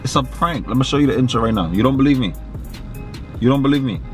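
A man's voice: mid-range voice, expressive, fast talker, faint music behind. A man in his twenties in casual couple banter inside a car, speaking slangily, repeating himself in a coaxing way. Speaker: prank vlogger.

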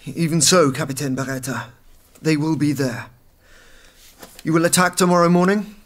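A man's voice: fairly high pitch, normal speed, lightly acted. A man playing a cautious, courteous medieval French garrison captain in an audio drama, formal and careful.

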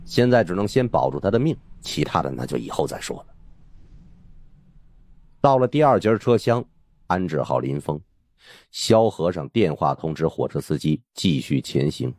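Male narrator for supernatural suspense audiobook; extremely deep, dramatic storytelling with lively intonation. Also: normal speed, long pauses, no room sound, clean studio sound.

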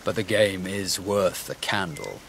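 An actor playing a proud, ambitious young prince, formal and resolute, in a historical audio drama. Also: male voice, sits low, measured pace, vocal fry, a bit bright, a little hiss or hum, exaggerated voice. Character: proud, ambitious warrior prince, defiant son. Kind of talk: historical radio drama acting.

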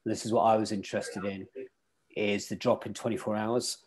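A man's voice: lowish voice, flat delivery, normal speed, a little mellow, breathy edge. A middle-aged man hosting a casual club video call on weather and tides, thinking aloud with fillers and restarts. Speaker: host presenting weather and tides. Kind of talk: casual online club talk.

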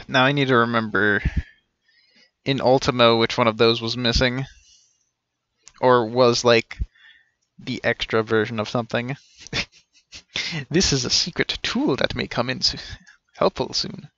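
Gravelly-voiced male game streamer. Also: mid-range voice, a little slow, pauses often, a bit bright, no echo, highs cut off. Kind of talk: casual live visual novel commentary. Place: indoor room at home. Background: game music.

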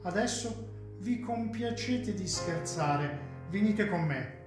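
A man's voice: sits high, lively intonation, takes it slowly. A man acting a chatty, faintly condescending hotel waiter in a theatrical radio drama. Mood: patronising, insistent. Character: chatty, teasing hotel waiter, male adult. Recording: echoey room, faint guitar music behind.

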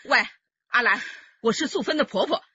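Husky commanding grandmother. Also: a little high, moderate pace, pushed and bright, no echo. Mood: firm. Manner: bossy, scolding. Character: commanding elderly grandmother, anxious but steely matriarch. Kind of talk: Chinese radio drama acting. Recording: dull radio-drama recording.

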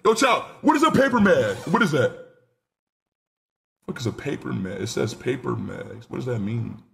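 Young man, 19, live streamer, lively swinging pitch, excitable, headset mic. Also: moderate pace, creaky. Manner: excitable, rambling, swears freely. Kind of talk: casual live stream chat.

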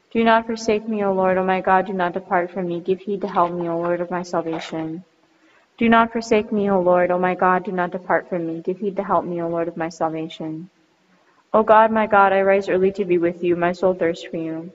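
Low-voiced woman reading psalms. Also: slight background noise. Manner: measured, chant-like reading. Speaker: reader of psalms. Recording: muffled recording with long reverb.

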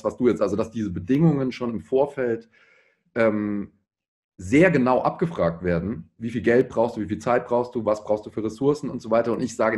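A man's voice: on the low side, darkish tone. A man in his thirties speaking reflectively in a panel discussion, tentative, in long winding sentences. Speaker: pop musician on a discussion panel.

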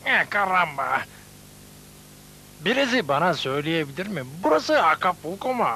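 Very high-pitched, excitable cartoon wanderer. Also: male voice, moderate pace, soft background music, heavily acted. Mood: lost, plaintive. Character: homeless, sociable male cartoon newcomer dreaming of Acapulco. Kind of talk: Turkish cartoon dubbing. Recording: noisy, hissy old VCD dub.

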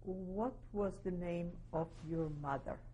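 A woman's voice: on the low side, slow, mellow, a little hiss or hum. A woman conducting a formal oral history interview, prompting politely and steadily.

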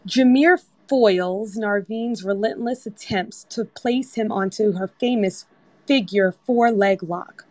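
A woman narrates a screenplay, reading out scene directions. Her voice sits at a middle pitch, and the sound is very dry over a voice-chat app. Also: slow, darkish tone, no echo. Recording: online group voice-chat app.

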